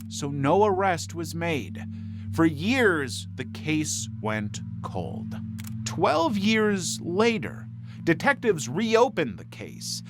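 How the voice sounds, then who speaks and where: Lively male true-crime narrator, animated pitch. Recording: music bed under the voice. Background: background music.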